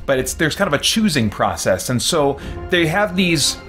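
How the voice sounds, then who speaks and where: A man's voice: a little high, big pitch swings, normal speed, fairly bright tone. A man hosting a gaming livestream, talking casually and hesitantly as he thinks aloud in an unscripted ramble. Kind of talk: casual unscripted gameplay commentary. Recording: background music behind the voice. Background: music.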